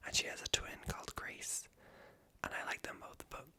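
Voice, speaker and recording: A woman with a light Irish accent whispers a breathy, self-conscious, stream-of-consciousness ASMR ramble. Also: moderate pace, pushed and bright. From Ireland. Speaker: ASMR video creator.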